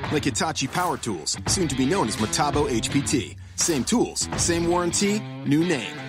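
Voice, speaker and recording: A man's voice: fairly high pitch, big pitch swings, normal speed. A man reading a radio commercial in an upbeat, confident way. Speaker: ad voice-over. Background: music.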